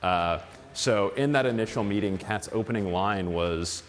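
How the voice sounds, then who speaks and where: A man recounting, in a relaxed interview, how he came to work on the series. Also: fairly low pitch, normal speed, in a large hall, slight background noise. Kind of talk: interview storytelling.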